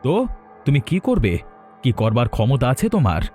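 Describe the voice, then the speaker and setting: A man's voice: medium pitch, big pitch swings, moderate pace, darkish tone, natural voice. A man playing a greedy, murderous grown son in a horror cartoon, menacing, defiant and theatrical. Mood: sneering. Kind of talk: melodramatic horror cartoon acting. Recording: faint music behind the voice.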